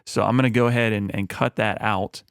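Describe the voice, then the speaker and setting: A man with a low voice, talking through a music mixing tutorial in a relaxed, explanatory way with frequent fillers. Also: brisk, clean tone, no room sound, clean studio sound. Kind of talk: screen-recorded mixing tutorial.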